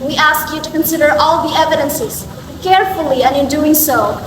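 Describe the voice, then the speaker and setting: A woman acting as a formal female defense lawyer with a high voice and a Filipino accent. She argues in measured phrases in a student play, distant in a big noisy hall. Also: natural voice. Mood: formal, insistent. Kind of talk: scripted courtroom oratory, student drama.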